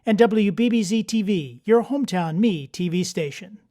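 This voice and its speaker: A voice reading a scripted list of sponsors in a crisp, promotional way. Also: medium pitch, lively intonation, normal speed, mellow. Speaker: sponsor announcer. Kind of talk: scripted announcement.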